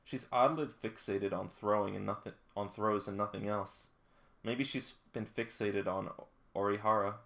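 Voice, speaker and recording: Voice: sits low, fairly even intonation, normal speed, gravelly, fairly bright tone, pitched below the performer's own voice. A reader voicing a tough, composed teenage girl who speaks bluntly and matter-of-factly in a comic read-along. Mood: serious.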